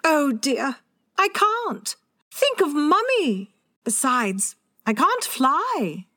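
A woman's voice: high-pitched, big pitch swings, very slow, pushed and bright, no echo, studio quality, pitched above the performer's own voice, heavily acted. A narrator voicing a prim, eager, easily thrilled young girl in a storybook, earnest and proper. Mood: rapturous, torn. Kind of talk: storybook character voice.

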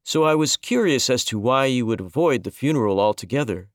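A man voicing a calm, gentle middle-aged reverend who speaks softly and consolingly. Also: medium pitch, no room sound, studio quality, pitched above the performer's own voice, lightly acted. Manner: soft-spoken, measured, consoling.

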